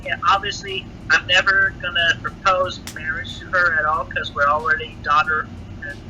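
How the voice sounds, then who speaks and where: A man talking on a private phone call in a halting, stiff way with oddly formal wording. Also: a little high, animated, pushed and bright. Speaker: interviewee on a leaked call. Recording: muffled, noisy leaked phone recording.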